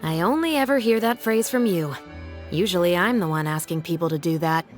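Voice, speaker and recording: An actress playing a stern, dry young woman captain of a patrol in a video game, speaking in a measured, official manner. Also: lowish voice, lively intonation, natural voice. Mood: wry, wary. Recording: game music behind the voice.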